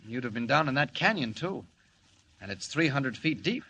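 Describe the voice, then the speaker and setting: An actor playing a heroic superhero disguised as a reporter in a dramatic, exclamatory 1940s radio style. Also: male voice, medium pitch, very animated, normal speed, vocal fry, pushed and bright, full character voice. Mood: cool, confident. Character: heroic, confident male superhero posing as reporter. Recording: very noisy old radio broadcast recording.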